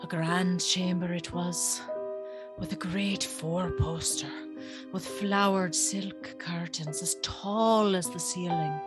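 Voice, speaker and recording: A woman's voice: lowish voice, slow, over loud music. A woman playing an anxious, spirited young servant girl who tells her tale expressively in a gothic audio drama. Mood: uneasy storytelling. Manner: expressive, breathy, nervous.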